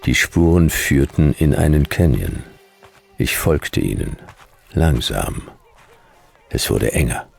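Very deep, terse hard-boiled detective. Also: male voice, slow pace, creaky, long pauses, slightly rough, soft background music, heavily acted. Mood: terse, brooding. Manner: terse, clipped phrases, deadpan. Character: laconic, hard-boiled male detective narrator in sci-fi desert. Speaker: narrator and lead actor. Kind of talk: noir first-person radio drama narration.

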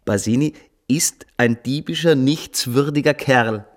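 An actor in a radio play delivering a formal, declamatory report of school events. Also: male voice, mid-range voice, a little slow, natural voice. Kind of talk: scripted radio play acting.

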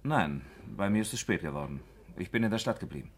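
A man's voice: sits low, lively intonation, quick pace. An actor playing an obliging, slightly hesitant man who repeats his words, in a 1960s German radio crime drama. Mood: affable, hesitant. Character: obliging, slightly hesitant male café regular, a smoker.

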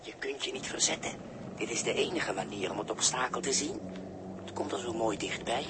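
An actor playing a cool, cynical crewmate in a 1960s radio drama, matter-of-fact and needling. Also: male voice, mid-range voice, a little fast, natural voice. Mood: coolly insistent. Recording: noisy vintage 1960s radio recording.

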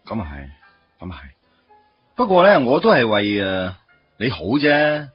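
An actor playing a wry, teasing office worker in a Cantonese radio drama, with a Hong Kong accent and a casual, grumbling delivery. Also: male voice, fairly low pitch, huge pitch swings, measured pace, muffled recording, soft background music. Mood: defensive, earnest. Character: wry, teasing male office worker meeting his first love.

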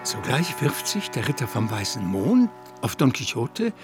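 A man's voice: mid-range voice, big pitch swings, a little slow. A man narrating an adventure in a dramatic radio play style. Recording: very loud music under the voice. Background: very loud music.

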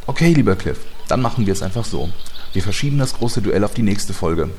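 A man with a very low voice narrates a comic audio drama. His delivery is dry and matter-of-fact, like scripted storytelling. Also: animated, brisk, darkish tone, slight background noise.

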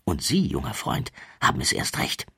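A man's voice: extremely low pitch, huge pitch swings, normal speed, gravelly, pushed and bright, husky, voice pushed down, heavily acted. A man voicing an eccentric, down-at-heel self-styled baron in an audiobook, theatrical, persuasive and grandly self-assured. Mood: breezy, grand.

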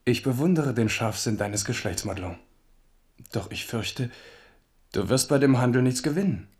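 A man playing an amorous, animated young king. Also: mid-range voice, moderate pace, natural voice. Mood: teasing, sly. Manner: wooing, teasing. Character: amorous young French king, playful and teasing. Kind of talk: German radio play acting.

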